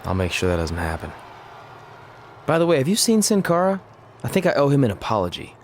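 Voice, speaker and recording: A man's voice: mid-range voice, huge pitch swings, brisk, a little hiss or hum. A man playing a cocky but sheepish young wrestler in casual video game cutscene dialogue.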